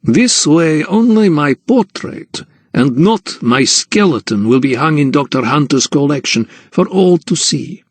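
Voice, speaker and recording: A little smiling count with a treacherous streak played by a man narrating a storybook. He talks in a lifted pitch, slyly and without hurry. The sound is dry and close. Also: animated, measured pace, slightly rough, no echo. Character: tiny smiling count, smooth sly old betrayer.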